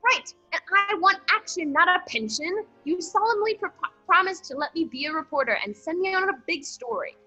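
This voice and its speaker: A pushy young newspaperwoman from a 1940s radio comedy as acted by a woman. Her voice is high and bright, swinging widely as she bosses and exclaims impatiently. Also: lively intonation, brisk, faint music behind, heavily acted, rough recording. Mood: exasperated, demanding. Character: pushy, fiery young woman reporter and fiancée.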